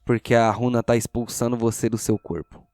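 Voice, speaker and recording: A man's voice: medium pitch, flat delivery, fairly quick, a little mellow, no room sound. Brazilian man as game master, music behind. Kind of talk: narrating a tabletop RPG audio drama.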